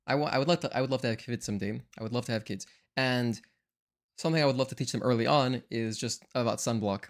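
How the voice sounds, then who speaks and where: A man's voice: medium pitch, quick pace. A young man streaming a game speedrun, chatting casually with viewers, rambling and often correcting himself mid-sentence. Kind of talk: casual live-stream commentary. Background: faint game music.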